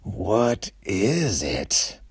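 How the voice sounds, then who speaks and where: A man voicing a serious, thoughtful male detective in a children's mystery, speaking in a measured, pondering way. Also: on the low side, sing-song, heavily acted.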